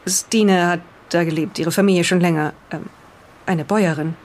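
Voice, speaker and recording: Someone voicing an earnest, defensive island resident in an improvised radio play, speaking deliberately as if reading aloud. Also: mid-range voice. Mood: hesitant recalling.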